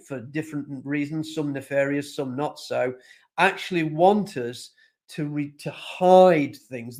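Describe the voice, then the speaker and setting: Emphatic man with a higher voice. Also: darkish tone. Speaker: panelist, consultant to executives. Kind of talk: panel discussion.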